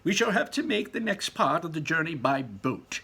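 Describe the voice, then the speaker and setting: A man voicing an eccentric, excitable candy factory owner in a storybook, bossy and gleeful, with theatrical drawn-out calls. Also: a little high, husky, pitched above the performer's own voice, full character voice. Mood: gleeful, urging.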